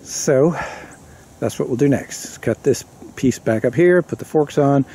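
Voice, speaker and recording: A man talking casually to camera outdoors in a woodland clearing, rambling and correcting himself as he explains. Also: mid-range voice, unhurried, mellow, slight background noise. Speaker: vlogger.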